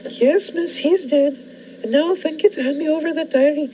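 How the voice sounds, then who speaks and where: A woman playing a brisk, firm hospital nurse in the style of a 1940s radio drama. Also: high-pitched, close to an everyday voice. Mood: firm, placating. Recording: muffled, very noisy recording.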